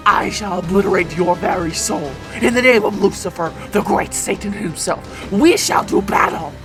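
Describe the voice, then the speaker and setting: A woman's voice: mid-range voice, normal speed, over music, natural voice. Woman putting on a crazed demonic sorcerer villain. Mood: menacing, triumphant. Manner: grandiose, ranting, theatrical. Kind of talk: villain monologue from a game.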